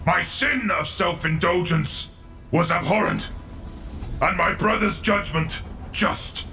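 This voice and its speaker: A man's voice: high voice, slow pace, vocal fry, bright, forceful tone, soft background music, exaggerated voice. A man playing a tormented, defiant warrior chaplain in an audio drama, declaiming with solemn, anguished force. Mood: remorseful.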